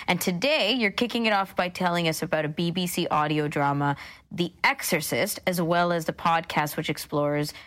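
A woman's voice: low-pitched, a bit bright. A woman hosting a radio show in a casual, conversational style.

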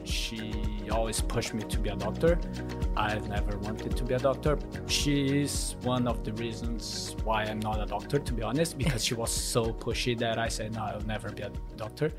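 Guy in his late 30s from brazil, korean first language, talks plain and frank, kinda low voice. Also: fairly even intonation, normal speed, loud music behind the voice. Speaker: interviewee. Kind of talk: casual conversational interview.

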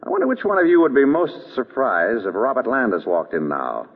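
An actor playing a suave, witty, easygoing married detective with a dry urbane manner in an old radio drama. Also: male voice, medium pitch, big pitch swings, brisk, husky. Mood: wry. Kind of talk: 1930s-40s radio drama acting. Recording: vintage radio, narrow tinny band.

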